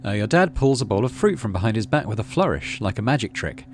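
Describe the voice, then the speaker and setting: A man's voice: fairly low pitch, big pitch swings, quick pace, slight background noise. A man reading out a story game as narration and adding casual commentary as he plays. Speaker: video game streamer.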